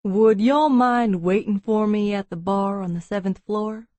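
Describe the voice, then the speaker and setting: A woman voicing an easygoing, wryly amused Texan businessman with a drawling baritone in an audiobook. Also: unhurried, darkish tone, dry recording, natural voice. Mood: easygoing, offhand. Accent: Texas. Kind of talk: audiobook character dialogue.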